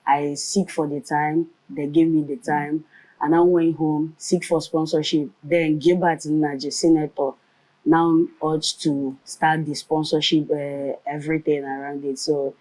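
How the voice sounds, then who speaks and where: This woman speaks low with a mellow tone and a Nigerian Igbo accent. She is a lively storyteller, moving between English, Pidgin and Igbo as she recounts her path as a comedian and radio presenter. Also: unhurried, rough recording. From Nigeria, Nkanu land. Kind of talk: storytelling in a conversational interview.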